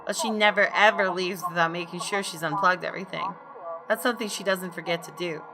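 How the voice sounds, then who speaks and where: A woman narrates story text from a visual novel in a fairly low voice. Her reading is measured and expressive, with clear noise behind her. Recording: clearly noisy background.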